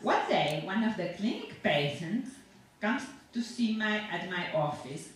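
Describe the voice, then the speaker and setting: A woman's voice: medium pitch, sing-song, measured pace. A woman reading a story aloud as a chatty, self-satisfied, sinister dentist who rambles on with cheerful reassurance to a patient. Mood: chatty, anecdotal. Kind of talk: dramatic monologue read aloud to an audience. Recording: echoey room from far off, faint noise.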